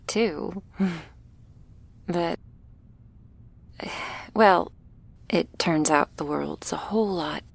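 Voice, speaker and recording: A woman playing a shy, gentle vampire girl in an ASMR roleplay, speaking softly and hesitantly, awkward but reassuring, often slipping into a whisper. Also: sits low, exaggerated voice. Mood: nervous, wistful. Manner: hesitant, halting, soft-spoken with breathy whispers. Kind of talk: ASMR roleplay addressed to the listener.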